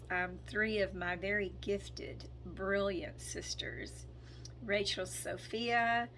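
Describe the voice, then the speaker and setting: A hoarse woman speaking in a slow, hesitant way, with frequent ums and throat clearing, as she gives a casual spoken update as a spiritual channel. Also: mid-range voice, fairly bright tone, slight background noise, poor audio.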